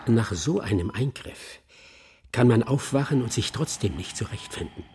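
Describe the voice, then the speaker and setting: An actor playing a detached, confident surgeon who explains things clinically in a radio crime drama. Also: male voice, medium pitch, animated, moderate pace, slight background noise, close to an everyday voice. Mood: calm, absorbed. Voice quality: mellow, husky, creaky.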